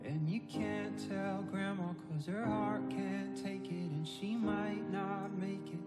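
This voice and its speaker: A woman's voice: medium pitch, steady tone, slow. A woman singing a pop ballad. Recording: song playback with guitar, roomy echo.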